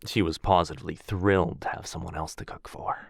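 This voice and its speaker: A man playing a courteous, gentle, centuries-old vampire lord in a soft, breathy ASMR roleplay, hesitant and genteel in manner. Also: low-pitched, fairly even intonation, fairly quick, dry recording, clean studio sound. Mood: warm, courteous. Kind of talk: boyfriend ASMR roleplay acting.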